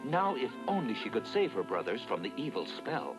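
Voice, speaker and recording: Animated male storybook narrator. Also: mid-range voice, moderate pace, low-quality recording. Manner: dramatic, expressive storytelling. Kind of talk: scripted storybook narration for a dubbed cartoon. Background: loud music.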